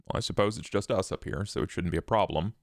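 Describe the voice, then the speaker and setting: Man playing a coy vampire, low and creaky. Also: quick pace, no echo, studio quality. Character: smooth, coy male vampire, probing and teasing. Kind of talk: tabletop roleplay character acting.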